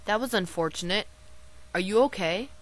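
A woman's voice: mid-range voice, expressive, moderate pace, lightly acted. A woman in an amateur anime fan dub, voicing a mild, helpful, polite young schoolboy. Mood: concerned. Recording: noisy home microphone with clicks.

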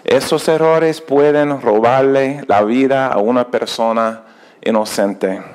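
A middle-aged American man delivers an earnest keynote in careful second-language Spanish. His English accent is plain in his vowels and rhythm. He speaks slowly into a podium microphone in an auditorium. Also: medium pitch, steady tone, a little mellow, clean tone. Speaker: keynote speaker, project director. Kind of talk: prepared speech in a second language.

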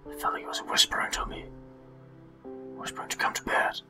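Casting: male player acting haunted, anxious young man in a game, hushed and breathy, music behind. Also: fairly quick, whispered, close to an everyday voice. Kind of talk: hammy game dialogue reading.

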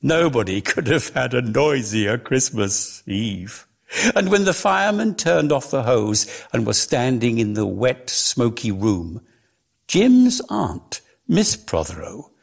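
Husky male dramatic reader, very animated pitch. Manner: measured, theatrical, lingering on words. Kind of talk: dramatic reading of a literary story.

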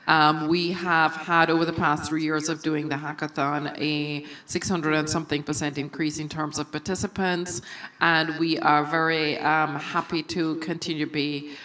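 A woman from Jamaica introducing herself on a conference panel, with many pauses and fillers. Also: low-pitched, fairly bright tone. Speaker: NGO representative. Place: conference room. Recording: distant mic in conference room.

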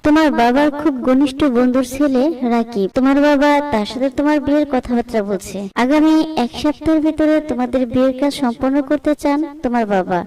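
A woman plays a fussing cartoon mother of middle age. She is insistent and high in pitch, recorded a little distant from the microphone. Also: quick pace, few pauses, dark tone, lightly acted. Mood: gentle, serious.

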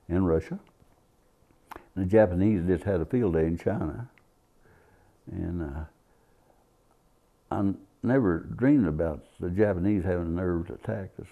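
A man's voice: low voice, creaky, narrow, tinny audio. An elderly American man, a war veteran, recollecting in an interview on a lapel mic. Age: 70s or older. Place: indoor interview studio.

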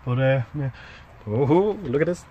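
A man's voice: mid-range voice, expressive, a little slow, mellow, highs cut off. An Irish man in his thirties chatting casually to a handheld camera, rambling in a playful, informal, sweary way. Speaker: vlogger. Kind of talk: casual, rambling vlog chat. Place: mostly outdoors by a parked van. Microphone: handheld camera or phone. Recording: outdoor handheld recording with faint noise.

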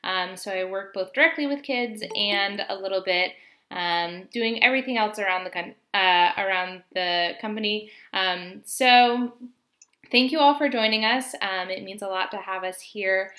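A young woman leads a video call panel in a friendly, loose way with many fillers. Her voice is bright and clear. She speaks through a plain webcam microphone. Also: medium pitch, normal speed. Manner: friendly, filler-heavy, organizing. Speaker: moderator. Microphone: webcam mic on video call.

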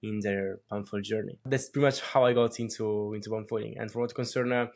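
A man's voice: lowish voice, steady tone, fairly quick, vocal fry, darkish tone. A man in his twenties from Italy with an Italian accent, co-hosting a podcast over a video call and talking in a friendly way with many fillers, from a small room at home. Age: 20s. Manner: friendly, lots of filler words and light laughs. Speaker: podcast co-host. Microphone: video call with earbuds.

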